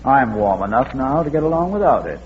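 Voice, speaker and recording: An actor playing a dry, witty, unflappable gentleman sleuth in a 1940s radio comedy-mystery, with genteel phrasing. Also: medium pitch, very animated, fairly quick, natural voice. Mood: wry. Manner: droll, wry, genteel phrasing. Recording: muffled old radio recording, hiss, reverberant.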